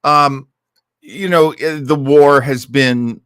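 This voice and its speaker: A man's voice: a little high, steady tone, takes it slowly, fairly bright tone, clean tone, dry recording. A man hosting an old-time radio listening show in casual livestream chat, rambling along in a jokey, self-deprecating way.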